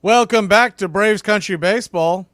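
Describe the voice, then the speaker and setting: A man calling baseball play-by-play live, in clipped pitch-by-pitch phrases. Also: normal speed, a bit bright. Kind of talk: live sports commentary.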